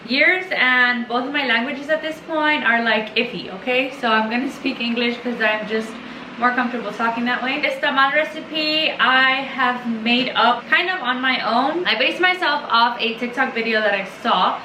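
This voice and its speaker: A woman's voice: on the high side, moderate pace, sharp tone. A young woman whose first language is Spanish, rambling casually and informally while making a home cooking video in her kitchen. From Mexico. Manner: rambling, informal, self-deprecating. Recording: echoey kitchen with background noise.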